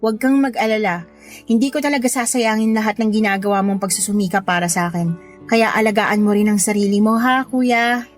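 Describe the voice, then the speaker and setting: A woman's voice: medium pitch, steady tone, a little fast, soft background music, close to an everyday voice. A woman playing a grateful, studious younger sister, speaking sincerely in a radio drama. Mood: grateful, reassuring. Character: grateful, devoted younger sister, a diligent student. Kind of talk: Tagalog radio drama dialogue.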